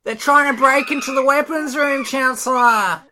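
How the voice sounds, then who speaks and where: A man's voice: very high-pitched, fairly even intonation, normal speed, heavy background noise. A man playing a frantic palace guard messenger in an audio drama, pleading and panicky. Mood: panicked.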